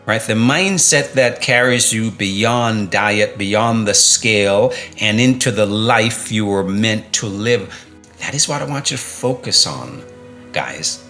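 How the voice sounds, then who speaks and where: A man's voice: mid-range voice, pushed and bright. A middle-aged man coaching viewers on fitness and mindset in an emphatic motivational talk, repeating key phrases and asking rhetorical questions.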